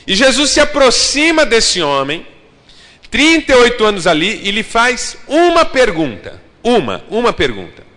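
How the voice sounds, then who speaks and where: Bright-voiced pastor preaching in reverberant hall. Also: male voice, extremely high pitch, wildly swooping pitch, normal speed. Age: 30s. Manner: preaching emphatically, addressing the congregation directly. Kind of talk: sermon. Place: large church hall.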